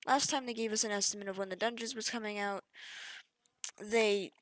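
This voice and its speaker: A woman's voice: medium pitch, steady tone, a little fast, dry recording, rough recording. Chatty young gamer woman, dry close mic. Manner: chatty, offhand, trails off mid-sentence. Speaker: gaming youtuber. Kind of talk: casual gameplay walkthrough.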